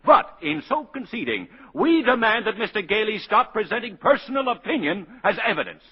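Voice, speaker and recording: An actor playing an exasperated prosecuting attorney with indignant, formal oratory in a 1940s radio drama. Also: male voice, high voice, sing-song, brisk, breathy edge, full character voice. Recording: muffled vintage 1940s radio broadcast.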